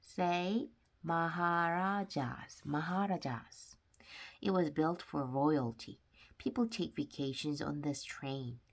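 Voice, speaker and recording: Woman, low pitch, slow pace, children's book read-aloud, deliberate phrasing.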